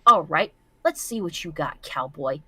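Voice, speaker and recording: A woman's voice: deep voice, lively intonation, measured pace, pushed and bright, slightly rough, no echo, full character voice. A woman voicing a vengeful, melodramatic jilted unicorn pony from a fan story. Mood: cold challenge. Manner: melodramatic, menacing.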